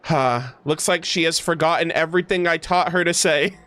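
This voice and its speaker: Man reading as a composed, measured female boss. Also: on the high side, pushed and bright. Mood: composed, dry.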